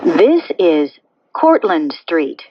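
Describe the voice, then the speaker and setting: A woman reading scripted automated train announcements in measured, segmented phrases. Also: fairly low pitch, sing-song, muffled recording, slight background noise.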